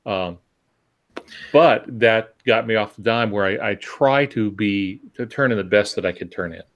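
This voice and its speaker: A man's voice: on the low side, normal speed, highs cut off. An older man co-hosting a podcast, reminiscing and giving advice in a casual conversational style. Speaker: podcast co-host and writer.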